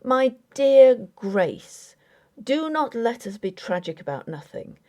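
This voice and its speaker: A woman's voice: medium pitch, warm tone. A woman voicing an earnest but impatient Victorian husband in an audiobook, formal, placating and patronizing. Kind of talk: dramatic audiobook character acting.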